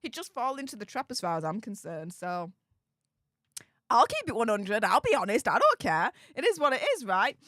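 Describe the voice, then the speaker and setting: A chatty woman with a Yorkshire accent hosts a livestream and reads out her viewers' comments. Her voice sits a touch high and animated, and it sounds dry and close, with no room behind it. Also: moderate pace, no echo. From Yorkshire, England. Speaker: YouTube host reading live chat. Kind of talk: casual livestream chat.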